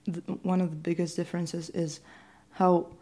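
A woman's voice: lowish voice, level tone, moderate pace, mellow. A seventeen-year-old woman from Ukraine, a native Ukrainian speaker, answering interview questions in a hesitant way with many fillers and restarts. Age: teens. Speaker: student interviewee.

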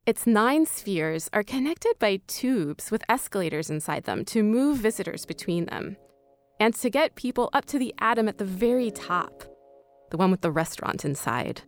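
A woman's voice: medium pitch, animated, moderate pace, soft background music. A woman hosting and narrating a scripted audio documentary. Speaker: podcast host and narrator.

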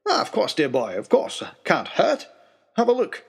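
A man voicing an excitable, delighted English professor in an adventure story, eager and exclaiming. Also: high voice, normal speed, vocal fry, breathy edge, voice pushed up, exaggerated voice. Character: excitable, delighted male professor and polar explorer.

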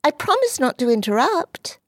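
A woman voicing an earnest, jealous little girl in an audiobook, high and eager. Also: very animated, moderate pace, no room sound, studio quality. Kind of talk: audiobook character voice.

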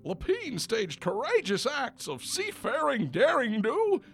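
A man voicing a cranky, excitable old rabbit with folksy, theatrical delivery in a spoof radio commercial. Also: extremely high pitch, unhurried, slightly rough, heavily acted. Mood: nostalgic.

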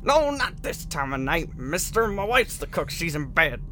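Gruff rural shopkeeper played by a man, high-voiced, swooping and curt, radio drama with noisy ambience. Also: very animated, moderate pace, bright, forceful tone. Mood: grumpy. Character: grumpy, suspicious rural roadside-stand owner, married man.

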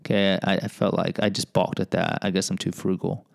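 A man's voice: low-pitched, level tone, a little fast, vocal fry, dry recording, clean studio sound. A middle-aged American man hosting a podcast, reading a personal memoir with rambling, self-deprecating asides. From United States. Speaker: podcast host and teacher.

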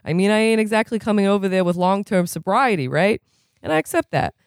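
A reader putting on a profane, rambling man in recovery who is quick to rage and keeps asking if you know what he's saying. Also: mid-range voice, lively intonation, no room sound, clean studio sound, pitched above the performer's own voice, close to an everyday voice. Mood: resentful. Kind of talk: reading a novel aloud, putting on a character.

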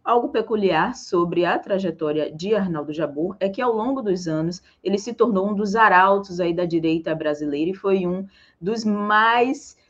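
A woman's voice: lowish voice, highs cut off. A woman with a Bahian Brazilian accent presenting live news in an informal, chatty way as a co-host.

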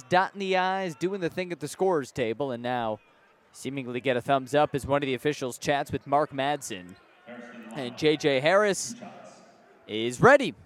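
High-voiced American man calling basketball. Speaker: radio play-by-play announcer. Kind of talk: live basketball play-by-play commentary. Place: courtside in a sports arena.

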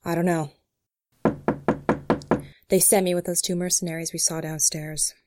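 A woman's voice: on the low side, flat delivery, normal speed, very noisy, natural voice. An actress playing a tough, short-tempered female FBI agent in an audio drama, brusque and authoritative. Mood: guarded, firm.

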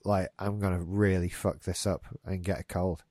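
A man's voice: low-pitched, little pitch movement, normal speed, a little mellow, no room sound, studio quality. A man hosting a casual gaming news podcast, rambling and swearing lightly, a bit stuffed up. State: getting a stuffy nose. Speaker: podcast host. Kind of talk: casual chat.

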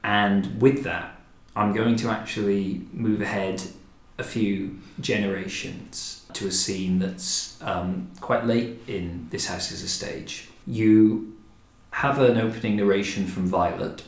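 A man hosting a podcast in a measured, articulate, deliberate and descriptive way. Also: on the low side, fairly even intonation. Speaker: podcast host, director of a historic house. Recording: roomy, echoing indoor sound.

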